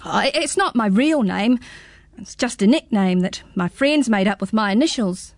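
A woman's voice: medium pitch, lively intonation, moderate pace, dry recording, lightly acted. A woman voicing a polite, nervous nine-year-old boy in a storybook radio reading, with a startled, stammering manner.

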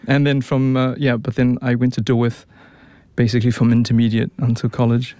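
A young man with a New Zealand accent talks easily as a radio guest, often restarting his sentences. His voice sits in a middle pitch. The sound is dry and close, with no room around it. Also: completely flat delivery, brisk, warm tone, no room sound. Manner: chatty, many restarts and fillers. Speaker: opera tenor, guest. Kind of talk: relaxed radio interview.

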